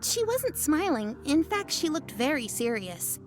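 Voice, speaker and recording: A chatty, high-voiced village witness. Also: female voice, very animated, unhurried. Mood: recounting. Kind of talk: video game voice acting. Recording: game audio with music underneath.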